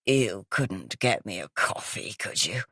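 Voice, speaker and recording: Woman putting on a gravelly, smoky-voiced older woman. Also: a bass voice, expressive, a little slow, a bit bright, dry recording, clean studio sound, voice pushed down, full character voice. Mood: groggy.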